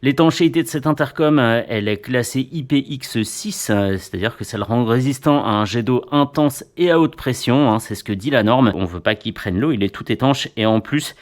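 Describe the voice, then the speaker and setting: A man's voice: medium pitch, normal speed, hardly any pauses. A man reviewing motorcycle gear in a casual, chatty way, with playful little asides.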